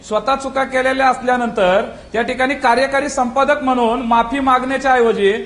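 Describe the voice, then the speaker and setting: A woman's voice: high-pitched, fairly even intonation, fairly quick. A woman arguing as a guest in a live political debate, assertive, insistent and accusatory. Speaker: debate guest, Maratha community activist.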